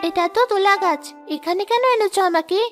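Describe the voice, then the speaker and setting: Casting: woman as hardworking young cartoon village girl, very high childlike pitch, gentle earnest delivery, music bed behind. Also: brisk. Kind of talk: moral-story cartoon dub.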